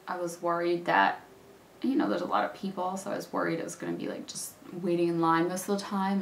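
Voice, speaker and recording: A slightly hoarse woman in her thirties chats along in a casual, rambling way, full of little fillers, while she does her makeup. Her voice sits on the lower side for a woman. She sounds a step back from the mic, in a room with a noticeable echo. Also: slight background noise. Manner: chatty, rambling, many fillers. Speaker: beauty vlogger. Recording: roomy echo, mic at a distance.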